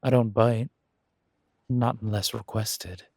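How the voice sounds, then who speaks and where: A man voicing a smooth, seductive gentleman stranger in a romantic audio drama, with a breathy, drawling, flirtatious and formal way of talking. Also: mid-range voice, fairly even intonation, slow, no echo, studio quality. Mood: teasing, flirtatious. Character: smooth, seductive, well-dressed male stranger, courtly and teasing.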